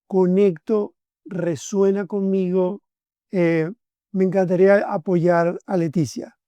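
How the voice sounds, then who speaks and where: Enthusiastic man hosting, high voice. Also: warm tone, dry recording. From Venezuela. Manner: enthusiastic, effusive. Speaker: podcast host. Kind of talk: conversational interview.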